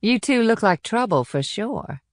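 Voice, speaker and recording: A woman's voice: medium pitch, animated, measured pace, no echo, clean studio sound. A woman playing a breezy, arch, somewhat defensive wealthy mother in an audiobook drama. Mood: breezy, teasing.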